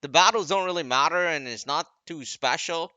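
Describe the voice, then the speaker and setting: Bright-voiced man rambling through game commentary. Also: a little high, normal speed, no echo, highs cut off. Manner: rambling, second-guesses his own wording. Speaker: gaming video creator. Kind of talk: casual off-the-cuff game commentary.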